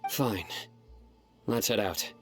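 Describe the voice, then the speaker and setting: A stern lone lawman in a game, low voice, curt and deadpan, music underneath. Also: takes it slowly. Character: stern, unyielding male lawman, a lone operator. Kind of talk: video game voice acting.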